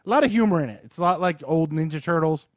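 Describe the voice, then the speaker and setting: A man with a slightly high voice talks through video games as a podcast host. The sound is very dry and close, with no room echo. His delivery is informal and slangy, explaining things in short, casual bursts. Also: expressive, fast talker. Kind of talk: casual chat, game review.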